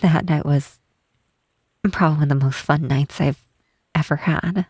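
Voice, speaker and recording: Woman acting a low, breathy, gentle half-troll cleric. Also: fairly even intonation, no room sound, clean studio sound. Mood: fond, amused. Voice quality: soft, breathy. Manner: hesitant, halting, tender. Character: tall gentle half-troll cleric woman, shy, wistful former lover. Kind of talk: ASMR roleplay, intimate softly spoken acting. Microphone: close microphone, dry.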